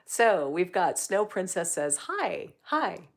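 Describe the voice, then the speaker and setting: A woman's voice: lowish voice, sing-song, slow. A woman hosting a casual livestream, chatting upbeat and encouraging while she reads viewer comments aloud. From Canada. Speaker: livestream host.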